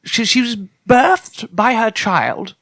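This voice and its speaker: A man's voice: very high voice, big pitch swings, takes it slowly, a bit bright, dry recording, studio quality. A man in improvised comedy talk, bumbling politely and stuttering over his words. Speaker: alien-radio translator expert.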